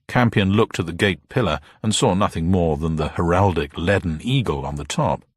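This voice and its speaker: A man with a very low, husky voice reads a detective story aloud. His delivery is animated and dramatic, swinging widely in pitch, in a dry, close sound. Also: moderate pace, no room sound. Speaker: narrator and reader of a detective story. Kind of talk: dramatic scripted story narration.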